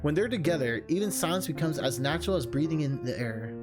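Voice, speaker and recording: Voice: mid-range voice, expressive, fast. Streamer narrating over music. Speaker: video game streamer, host. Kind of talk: reading a visual novel aloud, casual vlog chat.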